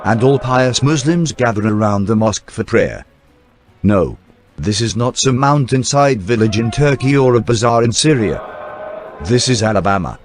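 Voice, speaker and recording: A man reading scripted newsreel documentary narration in a formal, measured announcer style. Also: mid-range voice, animated. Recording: dry voice-over with faint music bed. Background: faint background music.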